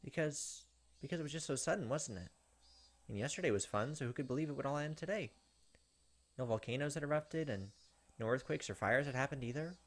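A man's voice: medium pitch, fairly quick. A man in his thirties hosting a gameplay video, expressively reading a visual novel's narration aloud.

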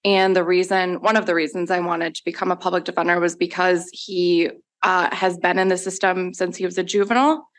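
A woman public defender, steady and matter-of-fact, introducing herself on a panel. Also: fairly low pitch, brisk. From Colombia, raised in Minnesota. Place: large law school hearing room.

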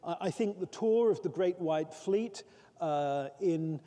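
A middle-aged man giving an academic keynote lecture from a lectern. He speaks in a thoughtful, measured way with frequent pauses. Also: on the high side, mellow. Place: large conference hall, at a lectern. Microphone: lectern microphone. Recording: reverberant hall sound.

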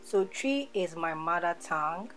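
A woman's voice: fairly low pitch, lively intonation, unhurried, fairly bright tone, faint music behind, low-quality recording. A woman in her thirties from Ghana with a Ghanaian accent, talking casually through a makeup tutorial and repeating words for emphasis. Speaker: beauty vlogger. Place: small indoor room, seated in office chair.

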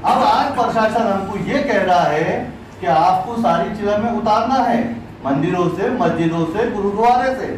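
A middle-aged man addresses a formal meeting in a high-pitched voice. He builds his points phrase by phrase with insistence. The sound is very reverberant and picked up from a distance, with faint noise. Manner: deliberate, phrase-by-phrase, insistent oratory. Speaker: city qazi, community religious leader. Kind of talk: public address at an administrative meeting. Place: crowded indoor meeting room. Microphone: distant camera microphone. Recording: echoey room, distant microphone, faint noise.

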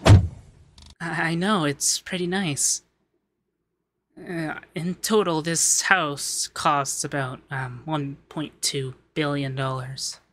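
A man playing a shy, sweet young femboy heir in an ASMR roleplay, hesitant and gently flustered, stammering as he talks. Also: fairly high pitch, fairly even intonation, slow. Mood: excited, welcoming. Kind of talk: ASMR roleplay audio drama.

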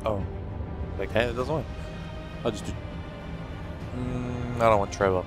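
A man's voice: mid-range voice, steady tone, measured pace, music in the background. A man hosting a gaming video with casual, goofy commentary, often repeating himself playfully. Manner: goofy, repeats and echoes lines.

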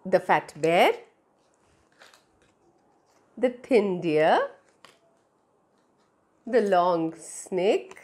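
A woman's voice: on the low side, expressive, extremely slow, long pauses, darkish tone. A middle-aged woman with an Indian accent telling stories to young children, speaking expressively and directly to them on a lapel mic. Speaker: children's storyteller. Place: small indoor room. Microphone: clip-on lapel mic.

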